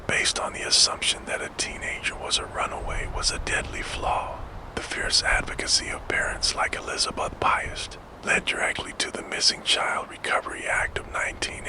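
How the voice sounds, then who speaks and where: Male ASMR narrator, breathy whisper with no voice, lively rise and fall, close mic. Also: extremely high pitch, low-quality recording. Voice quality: fully unvoiced whisper. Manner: slow, soft, measured, scripted delivery.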